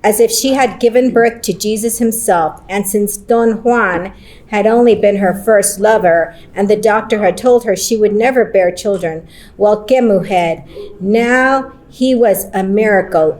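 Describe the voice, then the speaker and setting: A woman at a conference roundtable reads her own short story aloud at a middling pitch. She takes it slowly and deliberately, slipping Spanish phrases into her English. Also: darkish tone, low-quality recording. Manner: slow, deliberate reading with Spanish phrases woven in. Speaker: panelist.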